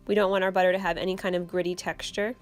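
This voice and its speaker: A young woman hosting her own channel, explaining a homemade recipe step by step in a relaxed, casual way from her kitchen. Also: lowish voice, rapid-fire, soft background music. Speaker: channel host. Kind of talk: casual how-to vlog. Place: home kitchen.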